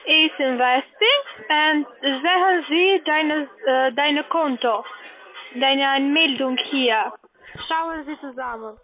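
A woman who is not a native speaker, talking haltingly in broken grammar as a call-centre agent on the telephone. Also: sits high, a little hiss or hum. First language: not German. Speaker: phone scam caller posing as investment firm agent. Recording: tinny narrowband phone line.

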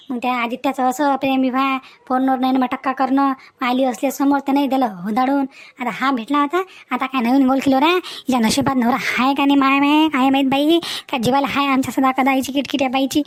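A woman's voice: high-pitched, lightly acted. A woman voicing a spiteful household gossip who grumbles catty asides in a cartoon family melodrama, with a Vidarbha accent.

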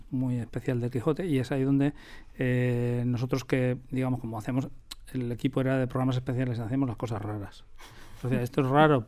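A man's voice: mid-range voice, warm tone. A middle-aged man with a Castilian Spanish accent, telling stories conversationally as a studio interview guest. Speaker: radio drama director, interview guest. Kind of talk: conversational storytelling. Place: radio studio.

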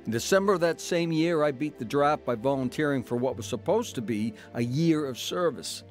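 Male actor as radio drama narrator, grown son, plain-spoken, matter-of-fact, medium pitch, musical backing. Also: expressive, a little fast, faint music behind, natural voice. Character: adult son narrating his crooked youth and war years. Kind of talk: scripted first-person radio drama narration.

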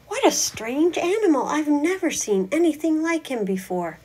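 A woman voicing a small, curious, excitable bird in a storybook, full of questions and exclamations. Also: high voice, moderate pace, voice pushed up. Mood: amazed. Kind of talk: storybook read-aloud character voice.

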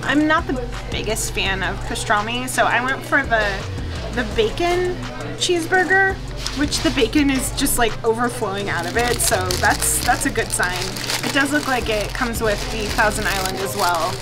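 A woman's voice: fairly high pitch, expressive, normal speed. A woman in her thirties chatting enthusiastically to camera as a food vlogger, recorded close in a small restaurant booth. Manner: chatty, enthusiastic, lots of fillers. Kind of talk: casual chat to camera. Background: background music.

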